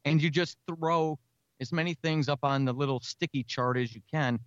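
A man talking as an interview guest, reflecting earnestly and self-critically on his craft in halting, explanatory phrases. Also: on the high side, dry recording, dull audio. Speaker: guest writer and podcaster.

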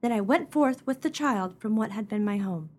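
A woman's voice: medium pitch, animated, moderate pace, a little mellow, lightly acted. An actress playing a desperate, forlorn young mother in a comic radio melodrama, with earnest, melodramatic delivery. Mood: forlorn, bitter.

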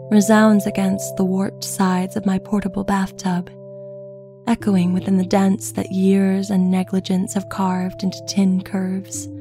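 A woman reading a literary first-person tale in a measured, lyrical, deliberate manner. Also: mid-range voice, dark tone. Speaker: story narrator. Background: music.

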